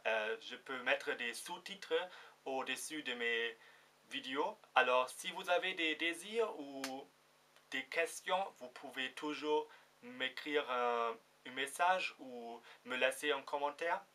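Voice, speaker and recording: A young German man with a German accent talks to the camera in careful, unhurried French, stopping often to hunt for the right word. His voice sounds bright and clear, with plenty of hesitant fillers between phrases. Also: mid-range voice, slight background noise. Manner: hesitant, searching for words, many fillers. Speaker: vlogger. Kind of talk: casual direct-to-camera vlog.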